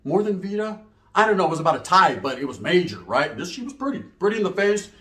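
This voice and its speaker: A man's voice: sits high, big pitch swings, fast, bright, forceful tone, echoey room. A man telling a raunchy comic story casually, full of slang and swearing and acting out the dialogue. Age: adult, parent. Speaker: comedic storyteller.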